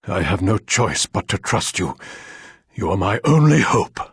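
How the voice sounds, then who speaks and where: Very raspy, anxious father. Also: lowish voice, very animated, a little slow, no room sound, clean studio sound, full character voice. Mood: desperate. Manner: urgent, formal, dramatic. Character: anxious, impatient, commanding father of missing daughter. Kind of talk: video game voice acting.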